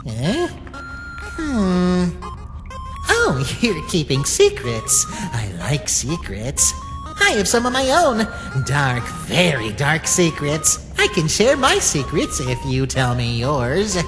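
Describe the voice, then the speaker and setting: An actor voicing a scheming, bitter little talking flower in a dramatic comic dub, with a taunting, drawn-out delivery. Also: mid-range voice, wildly swooping pitch, big-room sound, over music. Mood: sly, taunting.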